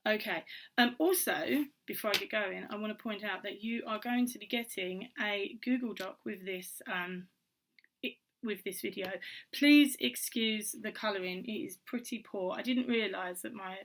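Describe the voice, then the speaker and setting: A woman vlogger, slightly hoarse from a cold, chatting casually to camera with many ums and restarts. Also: mid-range voice, moderate pace, fairly bright tone, a little hiss or hum. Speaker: vlogger and author.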